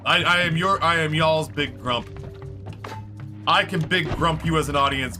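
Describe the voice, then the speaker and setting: Hoarse man streaming a game. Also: mid-range voice, sharp tone. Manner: casual, chatty, thanks viewers, swears, restarts often. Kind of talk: live gameplay commentary.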